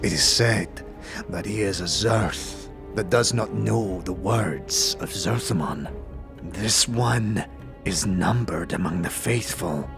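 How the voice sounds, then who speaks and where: A man's voice: low-pitched, slow pace, creaky, over music, pitched below the performer's own voice, full character voice. A man voicing a stern, proud fantasy warrior of an otherworldly people, speaking in solemn, clipped, formal phrases. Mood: grave, accusing. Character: stern, scarred Githzerai warrior, proud, grave and suspicious.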